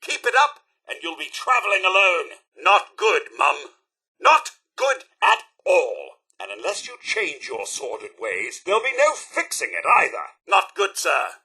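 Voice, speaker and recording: A fussy, devoted robot butler from a video game, speaking with a British accent. The voice sits high and sweeps up and down theatrically, with a slightly rough edge. Every phrase is formal and primly articulated, in the manner of a deferential servant. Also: male voice, huge pitch swings, a little slow, sharp tone, exaggerated voice. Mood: stern, disappointed. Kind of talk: scripted video game companion dialogue.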